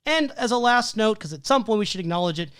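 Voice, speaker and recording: A man's voice: high-pitched, animated, fast, fairly bright tone, smooth, no room sound, clean studio sound. A man co-hosting a podcast, reading prepared film analysis in a methodical way while losing his voice. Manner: methodical, listing details with frequent "um" and "like". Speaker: podcast co-host.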